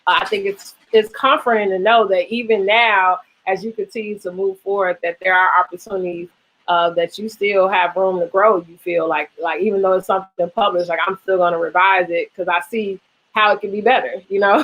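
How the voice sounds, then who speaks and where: A woman's voice: mid-range voice, fairly even intonation, brisk, fairly bright tone, low-quality recording. An American woman hosting a casual interview in an effusive, encouraging way. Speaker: interview host.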